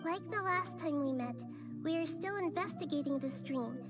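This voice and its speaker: A woman voicing a gentle, thoughtful young-looking goddess who speaks softly and carefully, over game music. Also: extremely high pitch, moderate pace. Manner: soft, careful, apologetic. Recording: game audio with music behind.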